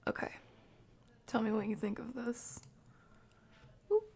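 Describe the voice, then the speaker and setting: Higher-pitched creaky-voiced woman, casual streamer. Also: very animated, measured pace, darkish tone, a little hiss or hum. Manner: informal, playful, slangy. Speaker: game streamer hosting a live stream. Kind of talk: casual chat with live viewers.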